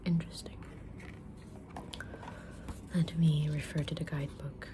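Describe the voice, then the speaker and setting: A woman's voice: deep voice, little pitch movement, background noise. Whispering, kindly mysterious witch played by a woman. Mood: calm, mysterious. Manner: slow, gentle, deliberate whispering with pauses. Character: kind, mysterious witch fortune teller, patient and sincere. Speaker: ASMR roleplayer reading tarot cards. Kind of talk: ASMR roleplay, whispered.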